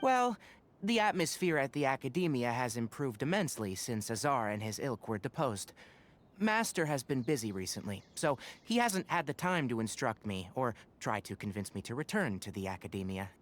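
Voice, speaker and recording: An actor playing a sensible, studious young scholar in a video game, articulate and dry in manner. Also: male voice, medium pitch, very animated, normal speed, close to an everyday voice. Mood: thoughtful.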